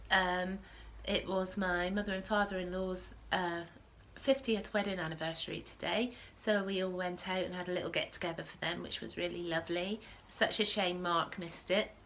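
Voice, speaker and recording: Woman host, lowish voice, sniffling with a cold, chatty and self-correcting. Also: fairly bright tone, slight background noise. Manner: chatty, rambling, self-correcting with frequent ums. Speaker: podcast host. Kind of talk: casual knitting podcast chat. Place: at home.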